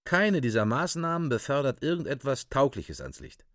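A man's voice: mid-range voice, sing-song, moderate pace, a bit bright, no echo, clean studio sound. A man giving scripted, report-like dramatic narration in a radio play.

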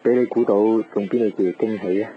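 An actor playing a devoted, teasing young boyfriend in a Cantonese radio drama. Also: male voice, on the low side, steady tone, fairly quick, a little hiss or hum, lightly acted. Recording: dull radio broadcast with music.

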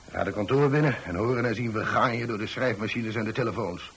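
An agitated grumbler in a radio play. His voice is sharp and forceful with a husky edge. He declaims emphatically, stacking complaint on complaint. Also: fairly low pitch, fast, full character voice. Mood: indignant. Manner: emphatic, declamatory, piling up examples. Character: agitated man railing against the noise of modern life. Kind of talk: radio drama acting.

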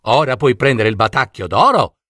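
A man's voice: medium pitch, sing-song, moderate pace, a bit bright, no room sound, studio quality. A man voicing a wise, riddling female fox who keeps the door of a burrow and speaks in a teasing singsong. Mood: kind.

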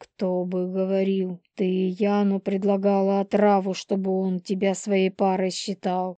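Woman doing a cold, flat-toned werewolf clan leader. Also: mid-range voice, unhurried, no echo, dull audio, lightly acted. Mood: accusing. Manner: curt, cold, indifferent. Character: cold, contemptuous male werewolf clan leader, controlled menace. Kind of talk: audiobook character dialogue.

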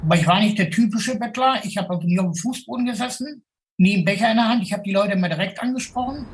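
A man's voice: sits high, quick pace, slight background noise, low-quality recording. A middle-aged man from the Ruhr area, a city tour guide, talking bluntly and colloquially about life. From Ruhr area, Germany. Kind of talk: informal spoken recollection.

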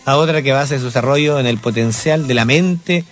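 A man's voice: mid-range voice, moderate pace, soft background music. A man hosting a radio show, reading news and statements aloud between jokes with his co-host. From Chile. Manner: jokey, reads statements aloud with banter.

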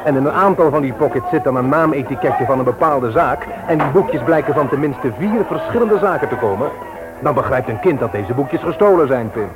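A man's voice: medium pitch, expressive, brisk, natural voice. An actor playing a stern, sarcastic man in a radio drama, talking down to young people in a firm, condescending way. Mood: scolding, sarcastic. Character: stern, sarcastic man scolding his young brother-in-law. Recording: dull old radio sound. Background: loud music and crowd chatter.

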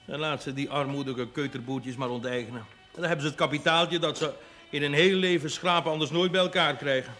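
A worldly squire in a television period drama. His voice is on the high side, with a casual, ironic, lordly ease. Faint music plays behind him. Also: fairly even intonation, fairly quick, few pauses, bright, forceful tone. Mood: amused, dismissive. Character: breezy, worldly young country squire, amused and condescending.

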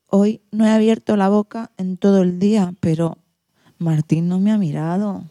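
A woman's voice: mid-range voice, steady tone, normal speed, mellow, dry recording, clean studio sound, lightly acted. A woman playing an earnest, dreamy schoolgirl in a radio play of a children's storybook. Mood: wistful, hopeful.

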